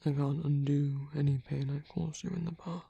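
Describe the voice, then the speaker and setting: A performer voicing a tender reformed villain as a protective lover in an intimate, gentle, breathy ASMR roleplay, speaking slowly in a hushed whisper. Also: medium pitch, level tone, no room sound, dull audio. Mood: tender, apologetic. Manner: slow, gentle, hushed, reassuring.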